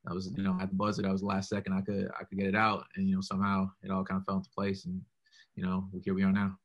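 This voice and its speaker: A young man with a deep voice, a rapper answering interview questions in a relaxed way, full of "like" and "you know". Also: very fast, muffled recording.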